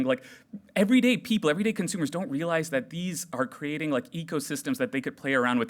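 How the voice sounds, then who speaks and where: A man giving an energetic, jokey live tech talk to a hackathon crowd on a stage microphone. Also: a little high. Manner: loud, animated, jokey with the crowd. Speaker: developer relations speaker. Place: large room at a hackathon event.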